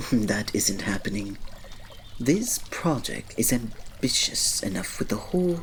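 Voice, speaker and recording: A man playing a reserved, devoted royal servant in an ASMR roleplay, speaking formally and politely with quiet fondness and restraint. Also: medium pitch, a little hiss or hum, low-quality recording. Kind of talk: ASMR roleplay, scripted drama.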